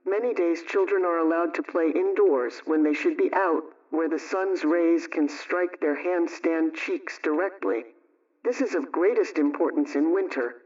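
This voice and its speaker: A woman playing a practical radio homemaking adviser, explaining things in a measured, instructive way in the style of a 1920s broadcast. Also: deep voice, darkish tone, distant mic. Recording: muffled radio sound, off mic.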